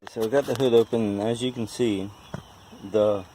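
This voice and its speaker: A man in his forties with a stutter talks casually and haltingly in a folksy, self-deprecating vlog. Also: on the low side, fairly even intonation, mellow, slight background noise, poor audio. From West Virginia, United States. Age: 40s. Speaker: vlogger, amateur car mechanic. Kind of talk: casual unscripted vlog talk.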